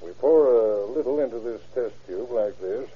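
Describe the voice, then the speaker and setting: An actor playing a patient, obliging small-town doctor in an old-time radio drama, explaining in a folksy way. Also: male voice, mid-range voice, lively intonation, normal speed, husky. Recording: tinny, band-limited old radio recording.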